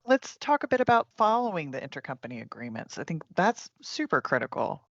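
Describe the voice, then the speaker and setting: A woman leads a panel discussion in a conversational, engaged way. Her voice sits at a middle pitch with a creaky edge. The sound is dry and a little muffled. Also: big pitch swings, brisk, no room sound. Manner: engaged, prompting others with questions. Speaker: podcast host.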